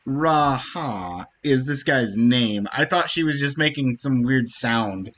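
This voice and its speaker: A man's voice: mid-range voice, lively intonation, takes it slowly, fairly bright tone. A man streaming a game, giving casual commentary in slightly dull audio.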